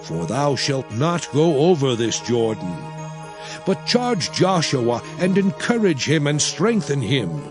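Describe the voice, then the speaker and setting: Slow, solemn commanding biblical prophet. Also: male voice, fairly high pitch, big pitch swings. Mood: grave, commanding. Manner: solemn, oratorical, measured, emphatic. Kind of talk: dramatized scripture reading. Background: music.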